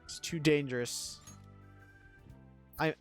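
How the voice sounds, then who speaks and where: A woman's voice: deep voice, fairly even intonation, slow pace. A woman game streamer giving casual, wry commentary and talking back to the game. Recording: faint game music behind voice.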